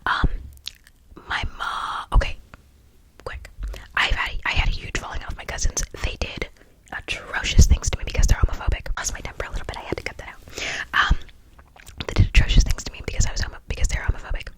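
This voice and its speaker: A video creator speaking in a breathy whisper, casually reacting to stories in a gushing, slangy way with emphatic repetition and rambling asides. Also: a little fast, low-quality recording. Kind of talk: whispered ASMR storytelling and reaction, casual chat.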